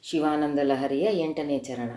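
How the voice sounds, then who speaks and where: A woman's voice: deep voice, a little slow, dark tone. A woman from gives an informal spiritual discourse, explaining verses in an earnest, conversational way. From Karnataka, India. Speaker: devotional speaker. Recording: echoey room recording.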